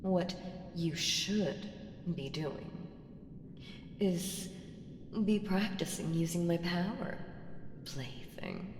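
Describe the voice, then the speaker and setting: A woman playing an ancient evil entity in an ASMR audio roleplay, seductive, menacing and playfully condescending, with teasing, drawn-out murmurs that slip into whispers. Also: lowish voice, a little hiss or hum. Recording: echoing reverb with music underneath. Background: music.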